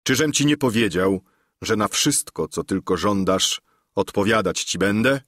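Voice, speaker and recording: A man voicing a deluded, solemn old knight-errant who speaks in a grave, lofty, measured way. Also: on the low side, expressive, a bit bright, no echo, clean studio sound.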